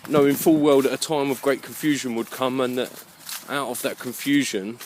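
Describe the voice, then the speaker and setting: A man giving a rambling, unscripted monologue in a halting, musing way, often repeating his phrases. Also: mid-range voice, fairly even intonation, a little hiss or hum. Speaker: vlogger.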